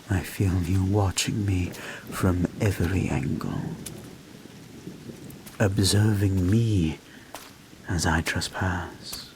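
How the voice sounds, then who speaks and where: A man playing a creepy, obsessive hypnotist therapist in a dark guided-meditation roleplay, speaking in a hushed, deliberate, poetic way. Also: on the low side, a little hiss or hum. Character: creepy, obsessive male hypnotist therapist, tenderly menacing, unshakeable.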